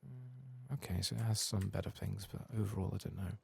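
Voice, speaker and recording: A soft-spoken man muttering playful, sweary, self-mocking ASMR commentary as he hosts a video game playthrough. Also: on the low side, fairly quick, gravelly, husky, no room sound. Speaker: host of a gaming playthrough channel.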